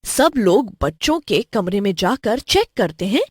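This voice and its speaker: Female cartoon horror narrator: animated intonation, measured pace, scripted storytelling in a dry studio sound. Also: medium pitch, slightly rough, no room sound.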